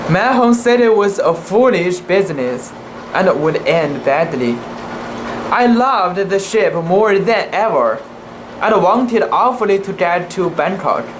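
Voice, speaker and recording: An amateur reader giving a dramatic reading of a classic sea story, in a halting, deliberate way with occasional stumbles over words. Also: medium pitch. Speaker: amateur reader making videos. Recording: noisy room with steady background hum.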